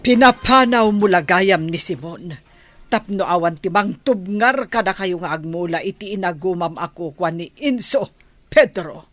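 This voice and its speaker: A woman's voice: fairly low pitch, lively intonation, moderate pace, no echo, soft background music, natural voice. A woman with a Filipino accent playing a worried, reproachful middle-aged village mother in a radio drama.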